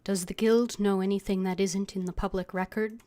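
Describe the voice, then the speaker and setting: A woman's voice: medium pitch, fairly even intonation, normal speed, mellow. A woman streaming a game, reading dialogue lines aloud and adding casual commentary. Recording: game music and keyboard typing behind.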